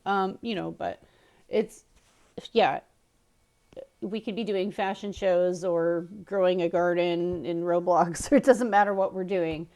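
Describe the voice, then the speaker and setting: A woman's voice: on the low side, steady tone, measured pace, darkish tone. A woman co-hosting a podcast, talking in an informal, conversational way. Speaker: podcast co-host. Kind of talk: casual chat.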